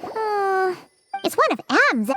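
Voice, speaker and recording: A woman voicing a tiny, curious and excitable fairy companion in a video game, who refers to herself in the third person. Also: extremely high pitch, slow, soft background music, heavily acted. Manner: talks about herself in third person, stammers when startled.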